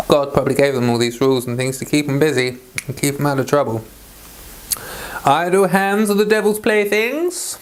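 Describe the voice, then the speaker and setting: British man, slightly high voice, Bible read-along, informal commentary, rambling delivery. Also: moderate pace, slight background noise. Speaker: Bible reader and teacher.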